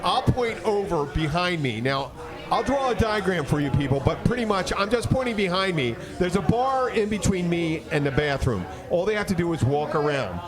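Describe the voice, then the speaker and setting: A man casually telling rambling, profane stories as a podcast host and bartender, acting out conversations in an animated way. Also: high-pitched, moderate pace, music in the background. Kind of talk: casual bar banter and storytelling. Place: in a busy bar. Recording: bar chatter in the background.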